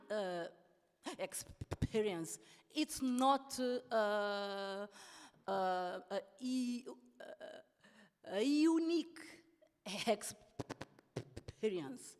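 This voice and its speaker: Slow-speaking woman with a stutter. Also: mid-range voice. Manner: stutters often, with many pauses and restarts. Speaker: politician and anti-racist activist. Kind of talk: panel talk. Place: large hall at a public event. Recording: long hall reverb.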